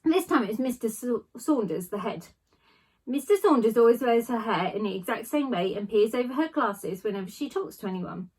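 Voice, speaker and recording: A woman reads a children's novel aloud like a teacher to a class, stressing words and pausing for drama. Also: on the high side, lively intonation, darkish tone, husky, rough recording.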